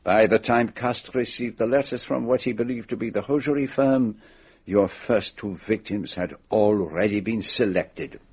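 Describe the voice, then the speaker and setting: An actor playing a shrewd, methodical detective in a radio mystery drama, speaking formally and deliberately as he sets out an accusation. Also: on the low side, darkish tone, husky. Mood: methodical, exposing. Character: shrewd, methodical male detective laying out his case.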